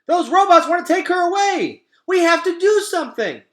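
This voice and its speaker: A man's voice: animated, moderate pace, roomy sound. A man voicing panicked wild forest animals in a children's storybook, calling out urgently to rally help. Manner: loud, shrill, urgent. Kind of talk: children's book read-aloud.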